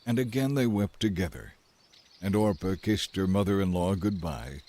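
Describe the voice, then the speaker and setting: A man's voice: low-pitched, moderate pace, mellow. A man reading as the narrator of a dramatized audiobook, in scripted storytelling style.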